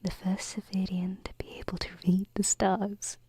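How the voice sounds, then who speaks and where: A woman voicing a gentle, playful, wistful alien princess in an ASMR roleplay, whispering breathily and tenderly, close to a binaural microphone. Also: medium pitch, level tone, husky, no echo. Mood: self-deprecating, tender. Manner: slow, hesitant, tender, softly teasing.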